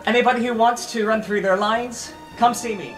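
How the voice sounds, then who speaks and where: A man voicing an earnest, bossy student theatre organiser in a tabletop game, rallying people briskly. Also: very high-pitched, steady tone, music in the background, voice pushed up.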